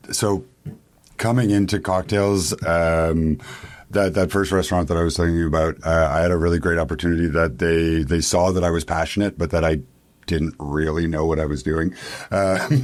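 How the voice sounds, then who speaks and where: A man from Quebec with a Canadian English accent, talking casually as a podcast interview guest. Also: low voice. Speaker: interview guest, bar owner and beverage director.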